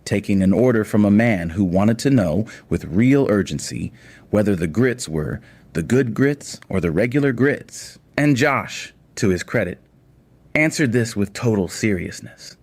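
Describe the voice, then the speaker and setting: A man's voice: fairly low pitch, expressive, unhurried, a little mellow. A man reading scripted narration for an audio story.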